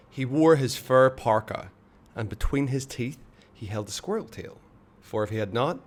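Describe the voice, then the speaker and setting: Male storyteller, lowish voice, expressive read-aloud narration, measured dramatic phrasing, pauses. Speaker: storyteller reading a children's book aloud.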